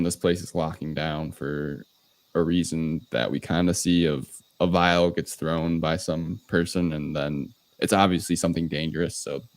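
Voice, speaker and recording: A man's voice: low-pitched, no room sound. A man hosting a podcast, casually recapping a film in an informal, hesitant, loosely explaining way. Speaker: podcast host. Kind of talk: casual movie recap discussion.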